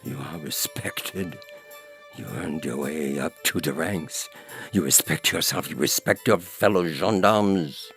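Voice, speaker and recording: A man playing a smooth, calculating Creole go-between who speaks in formal, measured phrases in an audio story. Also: very deep voice, a bit bright, faint music behind, exaggerated voice. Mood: persuasive. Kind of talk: audio fiction character reading.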